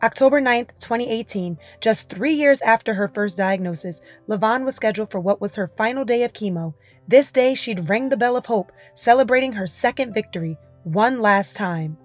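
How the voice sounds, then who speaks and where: A woman's voice: on the high side, expressive, normal speed. Woman narrating a news feature over music.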